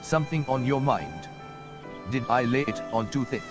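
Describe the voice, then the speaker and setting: Actor doing a seasoned old mentor, blunt and folksy, warmly pushing a young hero, over game music. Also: male voice, mid-range voice, lively intonation, normal speed, lightly acted. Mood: wry. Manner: folksy, wry, encouraging. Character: older male mentor, gruff and encouraging. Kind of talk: game voice acting. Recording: studio voice with game music behind.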